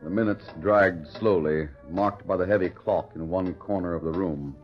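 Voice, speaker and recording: A man's voice: deep voice, normal speed. A man narrating a 1940s radio drama in a scripted announcer style. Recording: dull vintage radio recording with music. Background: music.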